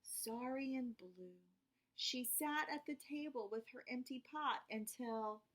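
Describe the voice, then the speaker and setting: A woman reading a picture book aloud to children in an expressive, teacherly way, pausing to explain words and ask questions. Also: sits high, heavy background noise. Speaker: read-aloud storyteller for children. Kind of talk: expressive picture-book read-aloud with asides to young listeners.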